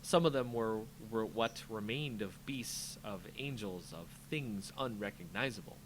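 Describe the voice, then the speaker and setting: A man's voice: mid-range voice, poor audio. A man reading a fantasy story aloud as a dramatic narrator, with faint noise behind him.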